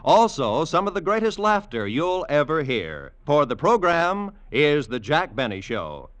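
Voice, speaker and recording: A man's voice: on the high side, very animated, a little slow. An American man announcing on network radio in a polished, theatrical 1950s scripted style. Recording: vintage radio broadcast, music behind.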